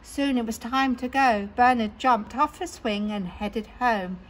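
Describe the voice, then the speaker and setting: A woman reading picture books aloud to children in an animated, dramatic way. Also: on the high side, unhurried, low-quality recording. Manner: animated, dramatic, with exclamations and sound words. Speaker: children's storyteller at a library story time. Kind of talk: expressive picture-book read-aloud.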